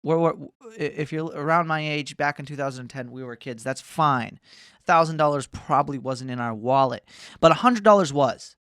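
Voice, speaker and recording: A man's voice: a little high, moderate pace, fairly bright tone, clean tone, no room sound, studio quality. A man in his twenties ranting casually to camera, swearing often and wandering between mocking asides. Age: 20s. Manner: profane, rambling, swears often. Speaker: vlogger.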